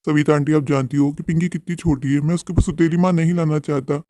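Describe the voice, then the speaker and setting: A man playing a protective young widowed father in a Hindi cartoon, earnest, respectful and gentle in manner. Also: a little high, fairly even intonation, quick pace, no room sound, studio quality, natural voice. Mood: worried.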